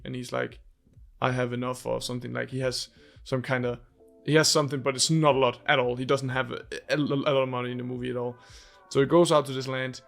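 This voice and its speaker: Young Danish guy, gravelly, rambly casual host, restarts a lot, faint music bed. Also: medium pitch. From Denmark. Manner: rambling, searches for English words, many restarts. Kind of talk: casual movie review.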